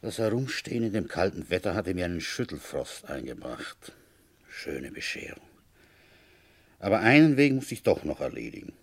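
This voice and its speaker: An actor playing a weary police inspector who narrates a radio drama in the first person, husky, dry and terse. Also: male voice, sits low, very animated, moderate pace, no room sound, exaggerated voice. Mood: resigned, dry. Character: feverish, weary male police inspector recounting his case.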